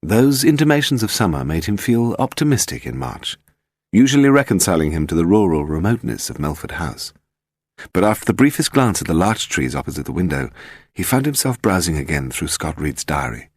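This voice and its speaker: A British man narrates fiction in a low voice with plenty of melodic movement. The reading is scripted storytelling, recorded close and dry. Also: sing-song, brisk, no room sound. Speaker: audiobook narrator.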